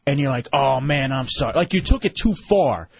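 A man hosting a radio talk show, animated and mocking, quick to laugh. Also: mid-range voice, vocal fry, muffled recording, slight background noise. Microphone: studio microphone.

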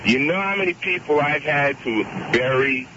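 A deep-voiced man calling in to a radio talk show, earnest and wordy, often cutting in to make his point. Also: expressive, normal speed. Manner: earnest, wordy, interrupts with repeated "wait a minute". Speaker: caller. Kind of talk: radio call-in chat. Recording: dull radio audio with clear hiss.

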